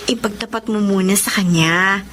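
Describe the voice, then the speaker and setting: A woman's voice: mid-range voice, normal speed, fairly bright tone, a little hiss or hum, natural voice. An actress playing a chatty, teasing female best friend in a classic radio drama. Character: Miriam, lively loyal woman best friend.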